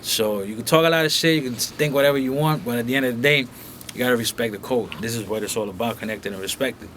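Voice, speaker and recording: A man's voice: mid-range voice, quick pace, fairly bright tone, slight background noise. A young man from Colombia talking casually and bluntly as an interview guest in a recording studio, peppering his speech with profanity and filler phrases.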